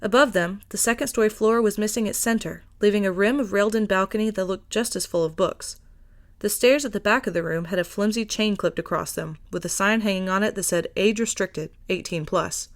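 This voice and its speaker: A woman's voice: mid-range voice, brisk. A woman reading a story aloud as scripted narration. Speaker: podfic reader.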